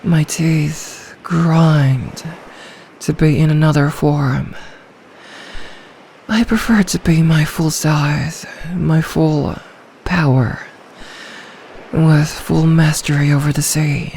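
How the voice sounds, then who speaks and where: Female performer as ancient sea dragon in ASMR roleplay: possessive, regal, seductive, very unhurried delivery, faint ambience behind. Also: low voice, fairly even intonation, gravelly, darkish tone, slight background noise, full character voice. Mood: proud, imperious. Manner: regal, seductive, slow and deliberate.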